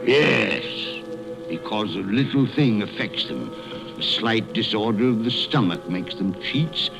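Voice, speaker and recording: A man's voice: on the low side, expressive, unhurried, gravelly. An actor playing a miserly, cold-hearted old businessman, skeptical and fearful, in a theatrical, blustering radio drama style. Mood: scornful disbelief. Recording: dull lo-fi recording with music behind.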